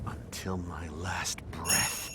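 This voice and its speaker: A man playing a brooding, disciplined young martial artist in an animated film, speaking in a solemn, measured, earnest way. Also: low-pitched, very noisy. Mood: defiant. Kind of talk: dramatic animation dub acting.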